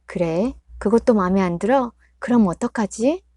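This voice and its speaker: A patient, remorseful middle-aged mother, voiced by a woman reading aloud, gentle and apologetic, holding back her frustration. The reading is close and dry, with no room sound. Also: mid-range voice, normal speed, no room sound, natural voice. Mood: agreeable. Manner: soft, apologetic, pleading.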